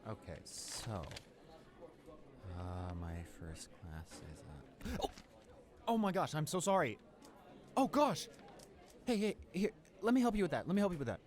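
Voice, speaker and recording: A young man playing a friendly, easily flustered high school senior in a roleplay audio drama, with an awkward, rambling, hesitant way of talking. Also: huge pitch swings, unhurried, pauses often, crowd noise. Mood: flustered, apologetic. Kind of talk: roleplay audio drama, casual conversational acting.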